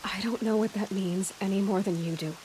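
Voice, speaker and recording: A woman's voice: medium pitch, steady tone, a little fast, darkish tone, a little hiss or hum, close to an everyday voice, poor audio. A young woman voicing a determined outcast hunter in a game cutscene, asking direct, inquisitive questions. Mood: earnest. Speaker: game protagonist. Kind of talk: video game cutscene voice acting.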